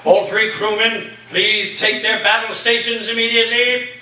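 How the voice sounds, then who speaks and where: A man's voice: sits high, little pitch movement, moderate pace, bright, forceful tone. A man playing an eccentric, commanding professor who speaks formally and theatrically, like a captain addressing his crew, in a staged reading. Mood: commanding, earnest. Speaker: actor in a staged play reading. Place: live staged reading in a reverberant room. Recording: echoey room, distant mic, faint noise.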